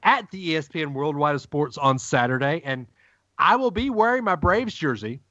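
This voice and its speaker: Podcast co-host, emphatic ranter, dry close mic, muffled lo-fi audio. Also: male voice, on the high side, expressive, sharp tone, dry recording. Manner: emphatic ranting, dramatic pauses. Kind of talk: casual sports podcast banter.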